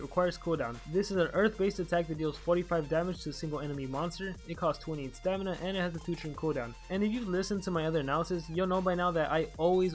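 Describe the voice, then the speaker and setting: A young man talks quickly through a game strategy breakdown, reading skill descriptions and then giving his own recommendations. His voice sits a little high for a man. Faint music plays under his voice-over. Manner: explanatory, reads skill text then advises. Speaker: gaming channel host.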